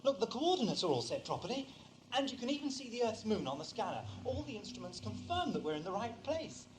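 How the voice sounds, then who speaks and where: Casting: whimsical, musing alien adventurer played by a man, British accent, very lively intonation, quaintly polite, scatterbrained, 1980s audio drama. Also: sits high, quick pace, noisy background. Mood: grave, baffled. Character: eccentric time-travelling alien Doctor, whimsical, absent-minded, excitable man.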